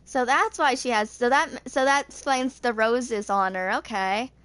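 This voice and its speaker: A woman's voice: on the high side, measured pace, fairly bright tone, smooth, no echo. A woman in her twenties reading story text aloud in an amateur way, stumbling over words and correcting herself. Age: 20s. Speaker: video creator reading game lore aloud.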